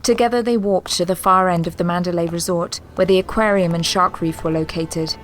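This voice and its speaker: A woman's voice: lowish voice, steady tone, brisk, faint music behind. A woman narrator reading scripted audio drama narration in a composed, descriptive storytelling manner.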